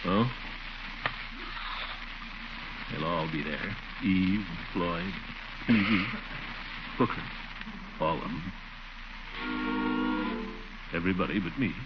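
An actor playing a lonely, self-pitying middle-aged uncle, muttering and grumbling to himself in a 1940s radio comedy style. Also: male voice, deep voice, unhurried, heavy background noise. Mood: distracted, glum. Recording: muffled old radio recording with music.

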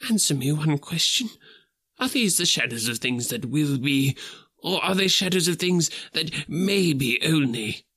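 A man doing an old miser, high and pleading. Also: lively intonation, unhurried, pushed and bright, breathy edge, heavily acted. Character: old miserly man, terrified then overjoyed.

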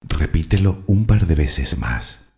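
Very deep, mellow male meditation guide. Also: animated, vocal fry. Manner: soothing, deliberate instruction in short phrases. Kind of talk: guided meditation with spoken affirmations.

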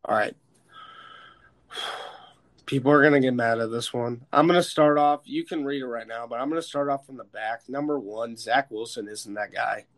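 An American man hosting a casual, sweary sports chat, opinionated and emphatic, with a stuffed-up, slightly hoarse voice. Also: mid-range voice, normal speed. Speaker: sports podcast host. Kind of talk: casual, profane football chat.

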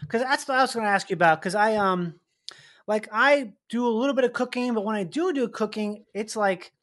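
A man, an actor, chatting in a relaxed way and musing through his questions. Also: high-pitched, brisk. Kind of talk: casual chat.

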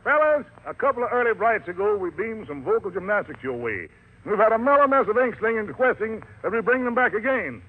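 A high-voiced American man works as a 1940s radio emcee, swinging widely in pitch. He rolls out showy rhyming slang in long, wordy announcements, heard through a muffled vintage broadcast. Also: sing-song, a little fast, a little hiss or hum. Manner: showy, wordy patter full of rhymes and slang. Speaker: radio show host.

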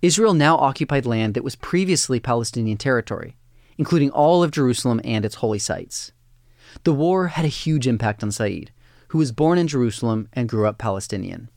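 A male narrator reads a documentary script in a steady, even voice. The sound is close and dry, with no room around it. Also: medium pitch, a little fast, creaky, dry recording.

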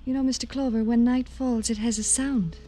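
A woman's voice: on the high side, fairly even intonation, a little fast, warm tone, lightly acted. An actress playing an anxious, trusting young woman in a 1950s radio drama. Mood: wistful. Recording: vintage radio broadcast, noisy background.

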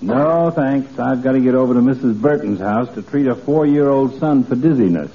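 A man's voice: mid-range voice, moderate pace, slight background noise, close to an everyday voice. Man playing a wry doctor, tinny old radio. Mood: dry, amused. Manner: dry, teasing, incredulous questioning. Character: wry, needling town doctor, a friendly sparring partner. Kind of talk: 1940s radio comedy acting. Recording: tinny vintage 1940s radio recording, faint audience.

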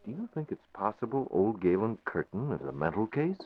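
A cool, shrewd young publisher in a 1940s radio drama, low-voiced and measured. The recording is dry and thin, like a phone line. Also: male voice, very animated, slightly rough, no room sound. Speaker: newspaper publisher.

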